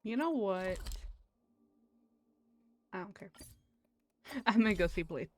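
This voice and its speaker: A woman's voice: mid-range voice, animated, slow. Chatty woman streaming a game. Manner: chatty, thinking aloud in fragments. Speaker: game livestreamer. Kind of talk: casual gameplay commentary. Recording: faint background noise behind voice.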